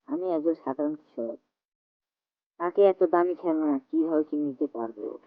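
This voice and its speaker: A man's voice: on the high side, vocal fry, no echo, heavily acted. Man as a careworn poor farmer, tinny sound. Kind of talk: cartoon fairy-tale voice acting. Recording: dry, tinny phone-like audio under music.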